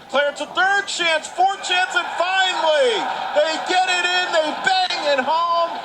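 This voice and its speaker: High-voiced American man calling hockey, noisy rink. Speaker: play-by-play commentator. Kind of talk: live radio hockey play-by-play. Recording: noisy arena ambience behind voice. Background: ice rink noise.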